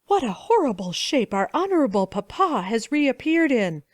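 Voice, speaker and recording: A woman's voice: a little high, very animated, moderate pace, no echo, clean studio sound, pitched above the performer's own voice. A woman voicing a sly, scheming young male prince with a mock-sweet, fawning tone. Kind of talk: storybook reading.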